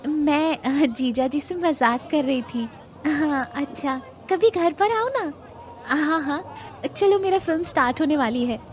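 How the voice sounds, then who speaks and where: Woman acting a high-voiced young cartoon wife. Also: unhurried. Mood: chatty, surprised. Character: young wife and her girlfriend, chatty cartoon women. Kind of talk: Hindi animated comedy story voice acting.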